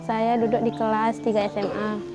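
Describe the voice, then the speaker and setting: A young woman in her late teens from North Sumatra, a Quran memorization graduate, who speaks carefully and pauses with short hesitations. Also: fairly high pitch, flat delivery. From North Sumatra, Indonesia. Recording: background music under speech.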